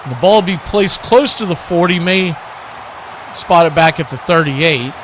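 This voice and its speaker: A man calls a football game in radio play-by-play style, dropping into casual asides. His voice sits a bit high for a man. There is a steady layer of noise behind him. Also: moderate pace. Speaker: live sports play-by-play commentator.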